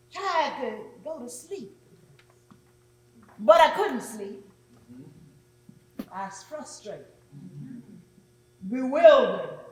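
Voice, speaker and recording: A woman performing a dramatic readers theatre monologue as a weary, solemn preacher, speaking deliberately with oratorical pauses. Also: high-pitched. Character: weary preacher solemnly recalling a threatening midnight phone call. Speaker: stage performer. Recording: echoey room.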